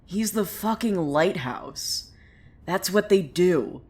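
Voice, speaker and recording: A woman's voice: on the low side, animated, slow, darkish tone. A woman playing an unhinged, bitter cult preacher with visions in a fiction podcast, theatrical and swinging between brooding and mania. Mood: bitter outburst. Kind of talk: dramatic monologue in an audio fiction podcast.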